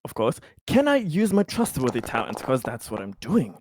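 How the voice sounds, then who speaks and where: A man's voice: mid-range voice, huge pitch swings, normal speed, noisy background. A man playing a tabletop roleplaying game, talking casually with the others at the table.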